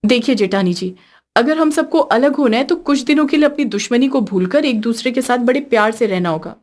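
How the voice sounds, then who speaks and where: Cartoon younger daughter-in-law, female narrator acting: young woman, pointed, teasing, quick to take fright. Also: on the high side, expressive, darkish tone, voice pushed up, natural voice. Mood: bargaining.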